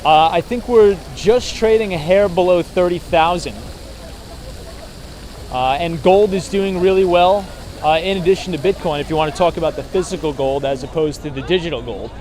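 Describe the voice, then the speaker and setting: A hoarse man with a slightly higher voice talks casually while walking city streets, recorded outdoors on a handheld phone. Traffic hums behind him, and his delivery is loose and chatty, full of fillers. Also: normal speed, a little mellow. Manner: chatty, filler-heavy, frequent "right?". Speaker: vlogger talking markets on a city walk. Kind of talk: casual livestream chat, reading viewer comments. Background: traffic sounds.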